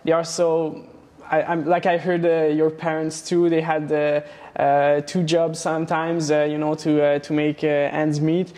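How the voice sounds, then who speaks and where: A young man from Romania, a guest in a studio interview, talking casually with many pauses and restarts. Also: on the high side, flat delivery, a little mellow. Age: young adult. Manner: hesitant, many fillers and restarts. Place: studio set with plant wall and neon sign. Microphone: lapel mic.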